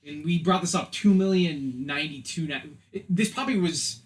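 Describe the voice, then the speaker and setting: A man's voice: fairly high pitch, a little fast, rough recording. A man co-hosting a podcast in casual, opinionated chat. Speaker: podcast co-host.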